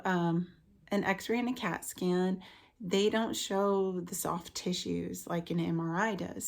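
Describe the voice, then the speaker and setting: A woman in her late thirties telling a personal health story casually and haltingly, her voice scratchy from the tail end of a cold. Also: fairly low pitch, darkish tone. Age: late 30s. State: getting over a cold with a lingering cough. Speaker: vlogger, stay-at-home mother.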